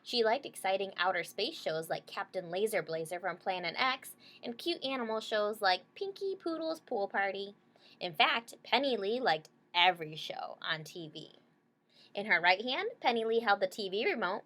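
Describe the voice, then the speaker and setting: A woman's voice: medium pitch, a bit bright. A woman reading a children's story aloud, stopping to explain things simply and check in with short questions. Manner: checks in with listeners using short tag questions.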